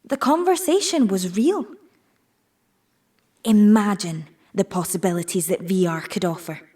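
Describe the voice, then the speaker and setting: A persuasive tech businesswoman delivers a scripted pitch in an audio play. Her pace is slow and measured, at a middling pitch, and she sounds set back from the microphone. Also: mellow, husky, recorded from a distance. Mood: personal, persuasive. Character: married tech-industry woman pitching virtual reality to an audience. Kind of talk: scripted audio drama monologue, public presentation.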